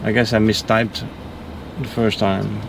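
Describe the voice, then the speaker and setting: A man's voice: fairly low pitch, little pitch movement, unhurried, gravelly. A man driving a car, chatting in a friendly way and asking his passenger lots of questions. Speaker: rideshare driver and host. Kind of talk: casual chat. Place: inside a car. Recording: car recording with road noise. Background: car and air conditioning noise.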